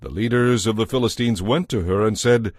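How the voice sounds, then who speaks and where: A man narrating a dramatized scripture audiobook in a measured, solemn storytelling manner. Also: fairly low pitch, a little mellow, no echo.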